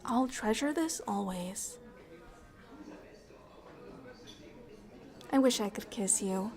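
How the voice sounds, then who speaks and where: High-voiced, bubbly alien ambassador played by a woman. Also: natural voice. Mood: tender, wistful. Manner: expressive, earnest, slightly formal wording, halting when moved. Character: bubbly, affectionate alien ambassador, curious and naive about humans. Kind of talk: ASMR roleplay acting, intimate one-sided dialogue.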